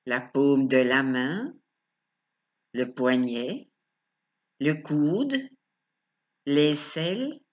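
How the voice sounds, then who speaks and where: Female meditation guide, calm and unhurried instructional delivery, slightly muffled lo-fi recording. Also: a bass voice. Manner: calm, instructional, short phrases with pauses. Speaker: relaxation coach guiding yoga nidra.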